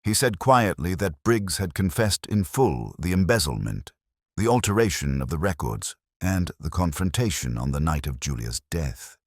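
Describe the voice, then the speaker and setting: A man acts as an ageing detective looking back in a very deep voice. The delivery is measured and melancholy, with lively rises and falls in pitch. It sounds close and dry, like philosophical first-person audio drama narration. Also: no room sound. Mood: somber, reflective. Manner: measured, solemn, contemplative. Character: weary ageing male English detective, reflective, melancholy, philosophical.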